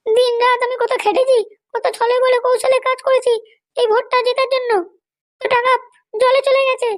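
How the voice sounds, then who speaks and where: A tiny, extremely high cartoon voice plays a bossy, short-fused wife with political ambitions. She rattles along quickly, scolding and threatening in coarse comic dialogue. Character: hot-tempered, foul-mouthed wife running for election. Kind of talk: amateur comedy cartoon dialogue.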